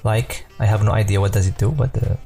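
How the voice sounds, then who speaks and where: Mellow-voiced man explaining software casually. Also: fairly low pitch, steady tone, fast, gravelly, faint music behind. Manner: loose and informal, many fillers and self-corrections. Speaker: software tutorial presenter. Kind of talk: casual screen-recorded tutorial.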